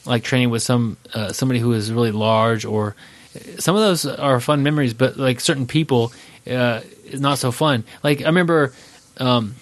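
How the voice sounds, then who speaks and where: A man's voice: mid-range voice, normal speed, no room sound. Nasal, congested man hosting a podcast. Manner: relaxed, laughs often, filler-heavy. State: congested from allergies. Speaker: podcast host. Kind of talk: casual podcast chat.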